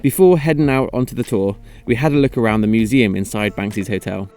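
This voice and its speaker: A man reading scripted voice-over narration in a measured, slightly wry tone. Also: fairly low pitch. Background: music.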